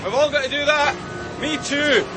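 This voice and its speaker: An actor playing a sarcastic, quietly menacing police detective, pressuring someone in a radio drama. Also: male voice, extremely high pitch, moderate pace, over music, crowd noise. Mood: needling, menacing. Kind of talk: broadcast radio drama acting. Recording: busy outdoor street noise behind voice.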